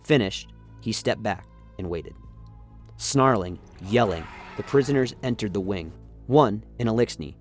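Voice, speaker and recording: A man reading dramatic scripted narration for a sci-fi radio play, as the storyteller. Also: fairly low pitch.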